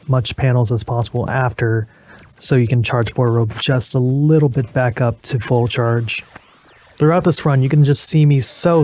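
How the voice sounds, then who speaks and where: A man's voice: mid-range voice, darkish tone. A man giving casual, informal commentary and advice on a mobile game, a little hesitant in his phrasing. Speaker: gaming video creator.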